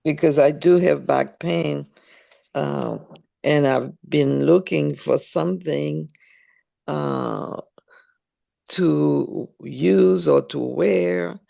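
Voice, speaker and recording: A woman from Haiti speaks on a casual group call. Her talk is very slow and hesitant, full of fillers. Her voice is heavily gravelly with strong fry. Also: sits low, dark tone. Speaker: caller on a group video call.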